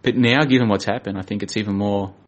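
A man's voice: lowish voice, steady tone, quick pace. A man hosting a casual chat show, chatty and teasing with his guests. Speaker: host.

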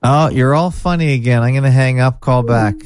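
A man's voice: medium pitch, a little fast, background noise. A man hosting a podcast with callers, chatting casually, joking and reading posts aloud from his screen. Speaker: podcast host. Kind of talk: casual call-in chat.

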